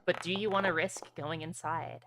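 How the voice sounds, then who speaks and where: A woman's voice: lowish voice, steady tone, moderate pace, background noise. A woman acting as game master for a streamed tabletop roleplaying game, talking casually with gamer slang.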